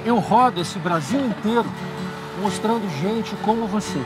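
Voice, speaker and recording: Middle-aged male TV host, calm and deliberate, outdoors with music underneath. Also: high-pitched. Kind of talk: emotional reveal speech. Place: outdoors at a city bus stop. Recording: TV segment with music behind.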